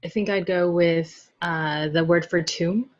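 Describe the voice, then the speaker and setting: A woman's voice: on the low side, steady tone, a little slow, lo-fi sound. A woman speaking as a podcast guest in a casual chat, playful and giggly, often thinking aloud.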